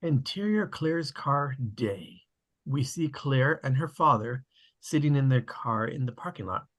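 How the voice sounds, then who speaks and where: A man with a slightly husky voice, casually hosting an online table read and narrating the stage directions. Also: mid-range voice, expressive, a little slow. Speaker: host and stage-direction reader. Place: online video call.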